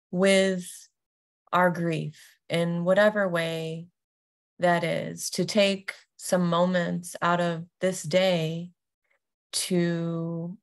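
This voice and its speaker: A woman introducing a guided meditation as a yoga nidra teacher, speaking gently and deliberately with long pauses. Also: lowish voice.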